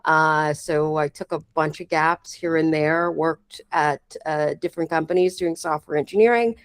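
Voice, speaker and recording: Low-voiced young woman in a dry recording. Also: fairly even intonation, moderate pace, smooth, no room sound. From Pittsburgh, United States. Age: 20s. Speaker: guest, software engineer and founder. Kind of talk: casual introduction in an online interview.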